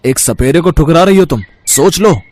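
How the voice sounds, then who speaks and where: A man's voice: on the high side, lively intonation, moderate pace. A man playing a wicked, lovesick, scheming snake charmer in a cartoon, with a melodramatic manner. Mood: bitter, scheming. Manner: melodramatic, pleading and gloating.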